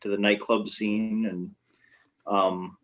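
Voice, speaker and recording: A man's voice: fairly low pitch, flat delivery, takes it slowly, a bit bright. A man casually telling a travel story on a podcast, rambling with many fillers and restarts.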